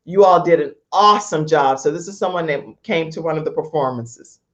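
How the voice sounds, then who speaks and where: A woman's voice: low voice, a little fast. Chatty woman hosting, slightly dull audio. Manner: chatty, expressive, informal. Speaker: talk show host. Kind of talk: casual live online talk show hosting. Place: live video stream from a studio setup. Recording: dull, lo-fi online call audio.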